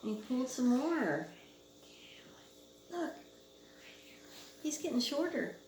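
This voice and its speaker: A woman's voice: high-pitched, sing-song, slow, a little mellow. Woman chatting with a child, roomy sound. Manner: asks simple prompting questions. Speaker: mother reading with her young child. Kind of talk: casual read-along chat with a small child. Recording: roomy, noisy amateur home recording.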